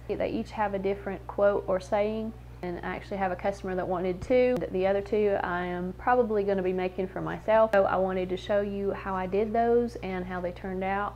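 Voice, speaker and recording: A woman's voice: mid-range voice, a little mellow, lo-fi sound, a little hiss or hum. A woman in her thirties giving casual how-to narration as the host of a craft tutorial.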